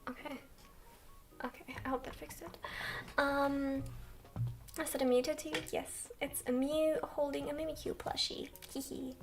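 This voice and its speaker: A young woman chats with her stream in a soft, quiet, high voice. She talks slowly and rambles, filling pauses with ums. She sits some distance from the microphone. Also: little pitch movement, soft background music. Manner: slow, rambling, many ums. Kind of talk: soft-spoken livestream chat. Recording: distant from mic.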